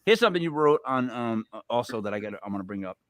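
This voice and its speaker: Animated laughing male podcast host. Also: medium pitch, racing, no echo. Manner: laughing, rambling, animated storyteller. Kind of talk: casual chat.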